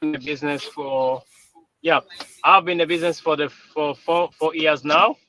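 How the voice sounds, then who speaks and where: A man's voice: on the high side, steady tone, normal speed, pushed and bright, low-quality recording. A man in his thirties from Rwanda whose first language is French, speaking as a business coach in a live interview, hesitant and repeating words. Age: 30s. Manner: hesitant, repeats words, slight grammar slips.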